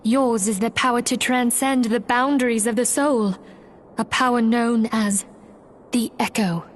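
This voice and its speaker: A woman's voice: a little high, steady tone, a little slow, a little hiss or hum, natural voice. A woman playing the serene, earnest leader of a secret order in a fantasy video game, with poised, solemn, archaic phrasing. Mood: earnest, explanatory.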